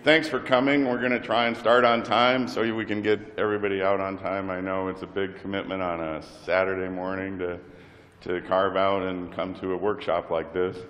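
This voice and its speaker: A middle-aged man with a slight cold opens a parish workshop in a hesitant, halting way, with many fillers. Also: low voice, little pitch movement, fairly bright tone. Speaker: volunteer group president. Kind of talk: workshop opening and group prayer. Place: large parish hall. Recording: long hall reverb.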